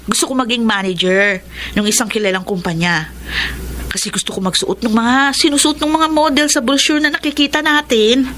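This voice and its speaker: A woman playing a determined, ambitious young provincial daughter and elder sister in a Tagalog radio drama, earnest and expressive. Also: a little high, moderate pace, close to an everyday voice. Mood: hopeful, encouraging. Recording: clear background noise.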